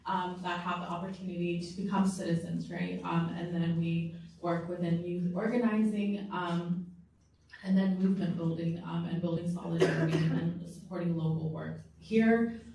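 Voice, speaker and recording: A woman's voice: lowish voice, moderate pace, a little hiss or hum. Casual woman organizer in an echoey hall. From Southeast Asia. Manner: relaxed, lots of "um" and "y'all". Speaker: community organizer, panelist. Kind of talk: casual panel introduction. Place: large room at a public forum. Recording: echoey room, distant mic.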